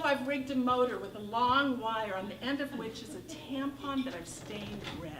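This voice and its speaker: A woman in her sixties from Northern California telling a wry, animated comic monologue on a theater stage. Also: sits high. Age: 60s. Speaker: solo performer. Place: theater stage with live audience. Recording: echoey room from far off, noisy.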